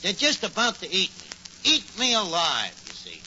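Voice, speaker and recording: Bumbling boastful tavern manager, New York accent, highish animated delivery, muffled 1940s radio sound. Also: male voice, normal speed, full character voice. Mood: blustering, excited. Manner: blustering, malapropism-prone, mangled grammar. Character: boastful bumbling tavern manager, a tall-tale-spinning phony. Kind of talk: 1940s radio comedy acting. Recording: noisy muffled old radio recording.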